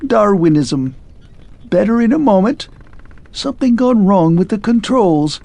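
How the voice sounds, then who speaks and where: A man's voice: sits high, very animated, unhurried, gravelly, dark tone, dry recording, voice pushed up, exaggerated voice. A man reading a storybook and voicing a clockwork man who speaks in clipped, broken phrases and barks like a dog. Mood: glitching. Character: male clockwork man, glitching and anxious.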